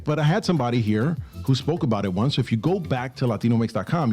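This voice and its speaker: A man's voice: mid-range voice, darkish tone. A man hosting a radio show, chatting casually and switching between English and Spanish with upbeat energy. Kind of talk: casual bilingual radio talk. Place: radio studio.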